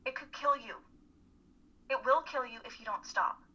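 A woman's voice: sits high, moderate pace, sharp tone, slightly rough, exaggerated voice. A young woman playing a caring, worried and insistent college student in a dramatic play reading. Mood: alarmed, firm. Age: young adult. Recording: muffled, telephone-like remote call audio.

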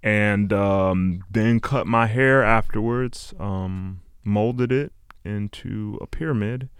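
Smooth, low-voiced young man. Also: no room sound, studio quality. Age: late 20s. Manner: halting, meandering, philosophical. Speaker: interview guest, music artist. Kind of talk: casual chat.

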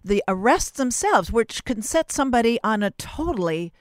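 A woman's voice: on the high side, very animated, normal speed, no echo, studio quality. A woman hosting an interview in a conversational style, discursive and often rephrasing her sentences mid-thought. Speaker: interview host.

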